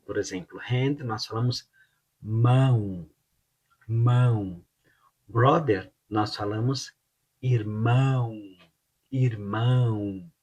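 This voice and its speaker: Slow, husky Brazilian teacher. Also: male voice, fairly low pitch, darkish tone. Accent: Brazilian Portuguese. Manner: slow, drills words by repeating them. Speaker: Portuguese teacher. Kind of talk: pronunciation lesson.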